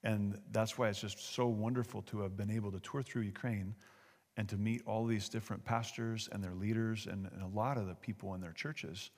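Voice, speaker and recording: In a reverberant hall, an American man presents to a church gathering in a fairly low voice. His delivery is informal and conversational, folksy and a little halting. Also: steady tone, darkish tone. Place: large hall, speaking to a gathering. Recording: echoey hall with long reverb.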